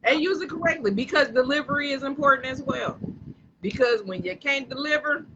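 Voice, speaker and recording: A man co-hosting a podcast who jokes along and keeps chiming in with quick agreement. His voice sits high and sounds very bright and sharp. The recording is dull and a bit lo-fi, with faint noise underneath. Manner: agreeable and playful, chimes in with short backchannels. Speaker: podcast co-host. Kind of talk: casual podcast chat.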